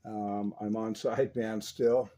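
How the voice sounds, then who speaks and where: A man's voice: lowish voice, level tone, slow pace, warm tone. A man working as a radio repair technician, methodically narrating a hands-on demonstration for a customer step by step. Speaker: radio repair technician presenting his restoration work.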